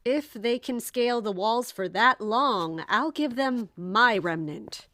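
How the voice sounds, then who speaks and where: A woman's voice: fairly high pitch, expressive, takes it slowly, pushed and bright, no room sound, exaggerated voice. An actress playing a composed, formal and stern female captain with an authoritative manner in an audio drama. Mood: wry.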